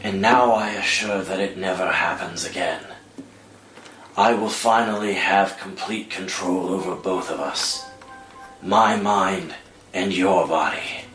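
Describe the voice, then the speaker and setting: Dying old mastermind villain , male actor: gloating, deliberate, hard-edged rasp, roomy sound over dramatic score. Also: low voice, bright, forceful tone, far from the mic. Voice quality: weak, raspy. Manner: grandiose, deliberate, sinister. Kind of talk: dramatic screenplay table read. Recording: roomy sound with music underneath.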